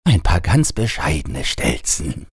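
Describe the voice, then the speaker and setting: A man's voice: extremely low pitch, wildly swooping pitch, takes it slowly, slightly rough, no room sound, studio quality, exaggerated voice. A man voicing a humble, fawning peasant in a storybook, speaking deferentially. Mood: humble, ingratiating.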